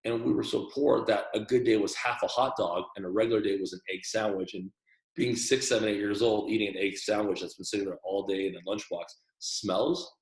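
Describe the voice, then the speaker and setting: A man's voice: lowish voice, steady tone, fairly quick, echoey room, low-quality recording. A man born in Taiwan, a podcast guest, telling his story earnestly in a casual interview and often repeating words as he starts sentences.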